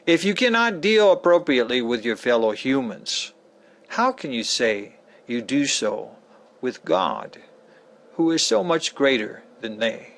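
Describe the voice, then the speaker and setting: A man playing a solemn, admonishing teacher from the Gospels, reading a lecture dramatically with deliberate pauses. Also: mid-range voice, very animated, fairly bright tone. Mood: solemn, measured.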